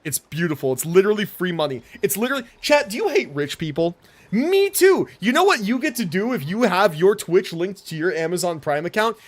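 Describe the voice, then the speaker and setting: An American man chatting casually on a live game stream in an animated, slangy, joking way. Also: high-pitched, fairly quick.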